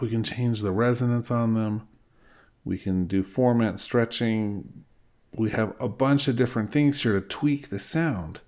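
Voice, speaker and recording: A man's voice: mid-range voice, a little mellow. A middle-aged man giving an informal screen-recorded tutorial, explaining things step by step as an instructor. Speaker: music software instructor.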